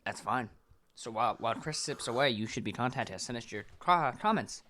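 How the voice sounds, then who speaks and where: A man's voice: medium pitch, lively intonation, a little fast, pushed and bright, slight background noise. A man co-hosting a podcast in casual banter, with a crude, joking, sweary manner. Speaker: podcast co-host. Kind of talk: casual banter between friends.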